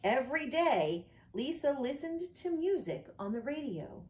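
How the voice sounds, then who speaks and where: Expressive woman storyteller, animated and unhurried. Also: high-pitched. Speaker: pastor reading a children's story. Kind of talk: picture book read aloud. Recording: echoey room.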